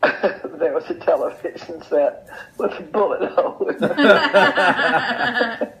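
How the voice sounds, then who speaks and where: An older woman tells anecdotes in an unhurried, folksy way, repeating phrases for emphasis as an interview guest. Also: lowish voice, very animated, over a noisy crowd. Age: older, over 70. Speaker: interview guest sharing memories. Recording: dull, lo-fi sound.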